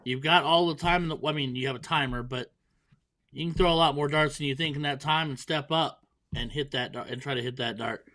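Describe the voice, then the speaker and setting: A man's voice: a little high, brisk, sharp tone. A man commentating a darts tournament, joking and poking fun at himself. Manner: playful, self-mocking banter. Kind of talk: joking live sports commentary.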